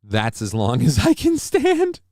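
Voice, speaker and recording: A man's voice: fairly high pitch, huge pitch swings, unhurried, darkish tone, no echo, studio quality. A man hosting a casual live stream from a home setup on a desk mic, joking crudely and reading viewer comments aloud. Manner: mock-grand war speech delivery. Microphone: desk microphone.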